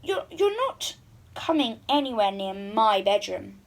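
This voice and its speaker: A woman's voice: mid-range voice, very animated, measured pace, gravelly. A woman voicing a stubborn, snappy young princess in a children's storybook. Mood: exasperated. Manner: snappy, indignant.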